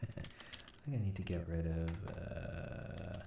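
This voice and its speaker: A man's voice: low-pitched, takes it slowly. A man giving a casual audio editing tutorial, thinking aloud as he works. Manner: thinking aloud while working. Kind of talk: casual screen-recorded tutorial commentary. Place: indoors at a computer. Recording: echoey, distant mic, keyboard clicks, faint noise.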